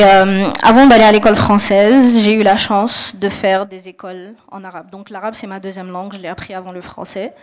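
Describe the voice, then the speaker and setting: A young woman from Mali and Niger, raised in Saudi Arabia, answering a panel question in an earnest, explanatory way with frequent hesitations and restarts. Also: mid-range voice.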